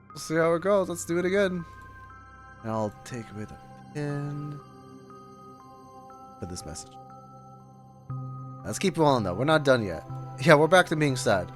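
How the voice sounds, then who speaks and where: A man chatting casually and excitably as he streams his reaction to a game. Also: mid-range voice. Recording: faint game music behind voice. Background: game music.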